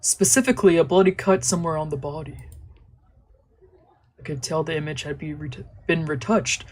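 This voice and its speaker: A woman's voice: sits low, darkish tone, husky, poor audio. A young woman doing casual let's play commentary and reading a visual novel aloud, with slangy, sweary talk. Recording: music behind the voice.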